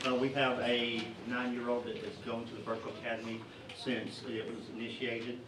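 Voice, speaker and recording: A middle-aged man, a parent, giving public comment at a school board meeting, earnest and halting with many fillers. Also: mid-range voice, little pitch movement. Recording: echoey meeting room, distant mic, noisy.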